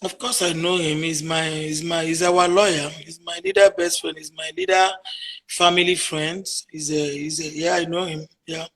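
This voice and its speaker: A Nigerian woman whose first language is Igbo, answering interview questions emphatically, dropping to near murmurs and then rising to loud declarations. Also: sits low, level tone, moderate pace. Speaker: interviewee, activist. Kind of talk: emotional call-in interview.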